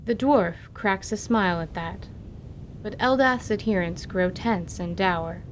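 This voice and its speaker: A woman's voice: mid-range voice, measured pace, slight background noise, poor audio. A woman narrator reading scripted, dramatic fantasy narration for radio theatre.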